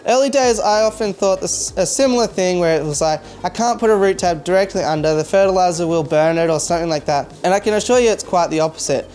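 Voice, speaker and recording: A man with an Australian accent, a hobby vlogger chatting casually to camera in his home fish room, relaxed and full of small fillers. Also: fairly high pitch. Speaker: aquarium hobby youtuber.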